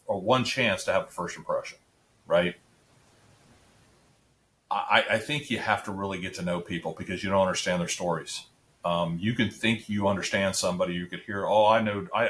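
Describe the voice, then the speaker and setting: Deep-voiced man, rambling and easygoing. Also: a bit bright. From Central Florida area resident. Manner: rambling, restarts, says man and dude. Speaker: podcast guest. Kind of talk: casual interview chat.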